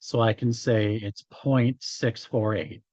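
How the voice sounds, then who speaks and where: Slow-talking, slightly hoarse male instructor, dull sound. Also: fairly low pitch, steady tone, darkish tone, no room sound. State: hoarse after hours of talking. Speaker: college instructor. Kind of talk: informal statistics lecture, working through examples.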